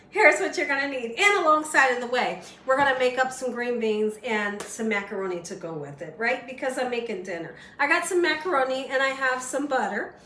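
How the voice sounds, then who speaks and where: A woman hosting a home cooking show, chatty and folksy, slightly hoarse as she loses her voice, often asking "right?". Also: a little high, a bit bright, echoey room, distant mic, slight background noise. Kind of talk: casual cooking demonstration. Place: home kitchen.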